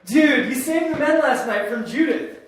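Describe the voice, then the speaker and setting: A man's voice: very high voice, lively intonation, normal speed, fairly bright tone, far from the mic. A man acting a cynical, bossy office worker in a comedy sketch, with a sardonic, exasperated delivery. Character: cynical bossy male office worker griping about the party. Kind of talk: live comedy sketch acting in old-time radio variety style. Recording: very echoey room, off the mic.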